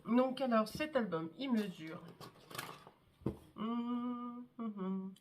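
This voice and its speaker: A woman with a cold showing her scrapbook albums in a casual, chatty way, with many fillers and pauses. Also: a little high, noisy background. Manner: chatty, hesitant, many fillers and "hop, voilà". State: has a cold, congested. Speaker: scrapbooking vlogger. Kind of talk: casual show-and-tell craft video.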